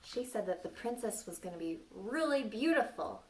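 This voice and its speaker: A woman's voice: mid-range voice, animated, moderate pace, a little mellow. A woman reading a story aloud to young children, playfully stopping to ask them questions and exclaim. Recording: distant microphone.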